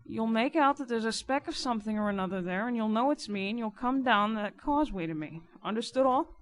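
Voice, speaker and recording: A woman voicing a rough, sly, boastful working-class riverman informer in an audiobook, with insistent colloquial speech. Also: on the high side, expressive, fairly quick, pitched above the performer's own voice, close to an everyday voice. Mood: wary, bargaining. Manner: colloquial, insistent, nonstandard grammar. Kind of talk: dramatic audiobook character reading.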